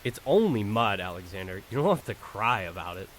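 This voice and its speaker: A man's voice: on the low side, very animated, brisk, a little hiss or hum, poor audio. A man playing dismissive, matter-of-fact supporting characters in an acted audio drama. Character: male supporting characters: teasing boys and a dentist.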